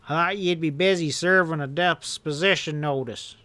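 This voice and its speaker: A man's voice: a little high, moderate pace, no room sound. A man voicing a scheming, cowardly outlaw who whines and pleads when frightened. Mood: eager. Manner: excited, whining.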